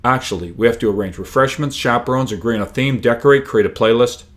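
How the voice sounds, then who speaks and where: A man's voice: mid-range voice, quick pace, a bit bright, pitched above the performer's own voice, natural voice. A man voicing a patient but firm woman schoolteacher in a book reading, with a dry, matter-of-fact manner.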